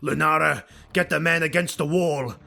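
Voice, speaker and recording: Guy doing a ruthless elf warlord barking orders, raised high and loud, fantasy audiobook, music behind. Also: fairly even intonation, moderate pace, vocal fry. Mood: commanding. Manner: shouting, commanding. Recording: music bed under the voice.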